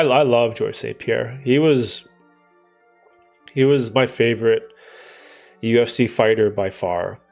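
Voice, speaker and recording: A young man from Canada hosts a relaxed live stream, his voice stuffed up from a cold. His tone is gravelly with vocal fry, and he thinks aloud in loose, rambling sentences over faint background music. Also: mid-range voice. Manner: rambling, thinking aloud with many fillers and restarts. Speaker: livestream host answering chat. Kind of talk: casual live Q&A chat.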